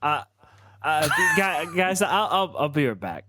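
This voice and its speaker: Male player as nervous, placating dad in improvised RPG dialogue, stammering, hugely varied pitch, dry close sound. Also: very animated, a little slow, bright, forceful tone, no echo, heavily acted. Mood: flustered. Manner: stammering, flustered. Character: nervous, evasive family man, placating his ex.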